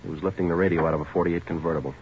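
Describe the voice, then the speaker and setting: An actor playing a terse, matter-of-fact detective sergeant in a 1940s radio crime drama, with a clipped, deadpan delivery. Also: male voice, deep voice, very fast. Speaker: narrator and lead actor. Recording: dull, noisy old radio recording.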